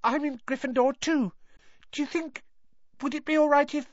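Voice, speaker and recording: A man voicing an eager, breathless, starstruck small schoolboy with a gushing, excitable manner. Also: very high voice, normal speed, no room sound, lo-fi sound, pitched above the performer's own voice. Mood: eager, nervous.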